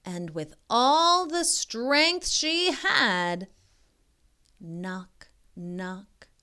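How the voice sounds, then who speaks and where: A woman reading a picture book aloud to young children as a tutor, expressive and pausing to prompt the listener with questions. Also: on the high side, smooth.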